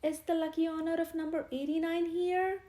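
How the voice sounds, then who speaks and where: A formal, insistent vicar, put on by a woman reader, announces slowly and solemnly. The voice sits high, with a mellow, soft tone. It belongs to a children's storybook read aloud. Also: level tone. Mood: announcing.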